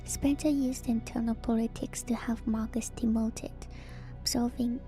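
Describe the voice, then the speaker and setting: A woman doing a hushed, intimate ASMR stream, reading lore in a soft breathy whisper and adding casual asides to her viewers. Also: fairly high pitch, fairly even intonation, unhurried. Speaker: streamer host. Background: music.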